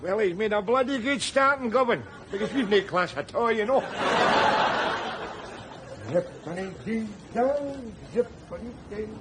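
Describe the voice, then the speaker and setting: An actor playing a sardonic, rambling middle-aged down-and-out man, who breaks into shouts. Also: high-pitched, animated, measured pace, heavy background noise. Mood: wry rambling. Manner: wry, rambling, bursts into shouts. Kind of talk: screen drama acting.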